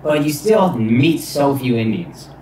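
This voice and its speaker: A man guesting on a podcast as a comedian, talking in a relaxed, casual way, swearing now and then and laughing often. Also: mid-range voice, unhurried, echoey room, far from the mic, slight background noise, rough recording. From United States.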